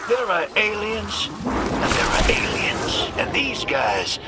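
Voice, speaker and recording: An actor playing a wry, irreverent adventurer in a video game, talking in an offhand, colloquial drawl. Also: male voice, sits high, wildly swooping pitch, takes it slowly, over loud music, full character voice. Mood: wry explaining. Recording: loud noise behind the voice.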